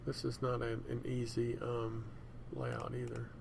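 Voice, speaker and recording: Guy doing a screencast, kinda creaky voice, mumbles along thinking out loud, some room noise and typing. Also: medium pitch, completely flat delivery, measured pace. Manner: rambling, thinking aloud while working. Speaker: software tutorial presenter. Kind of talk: casual screencast demonstration. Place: indoors at a computer. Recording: noisy room with keyboard typing. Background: room noise and keyboard typing.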